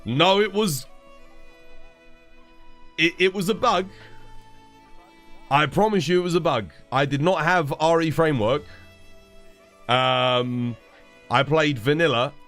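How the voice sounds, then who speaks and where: A man's voice: fairly high pitch, takes it slowly, pauses often, fairly bright tone, soft background music. A man, stuffed up with a cold, casually chatting and answering viewers while streaming a game. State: congested, stuffed-up nose. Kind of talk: casual live-stream chat.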